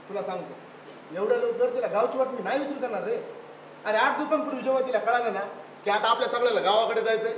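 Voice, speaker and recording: A man playing a determined, emphatic family man in a stage play. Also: very high-pitched. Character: determined family man defending village roots. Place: theatre stage at a youth festival. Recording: distant echoey stage, faint noise.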